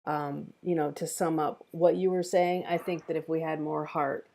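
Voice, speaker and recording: Lower-voiced woman hosting an online stream. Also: steady tone, normal speed, creaky, darkish tone. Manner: appreciative, encouraging. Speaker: host of online script table reads. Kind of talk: casual feedback chat and stream sign-off.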